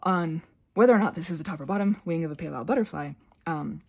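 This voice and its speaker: A chatty, crafty goblin played by a woman who rambles haltingly from tangent to tangent. The voice sits fairly low for a female one, with a gravelly creak and a husky rasp, in an ASMR craft ramble. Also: darkish tone. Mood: chatty, earnest.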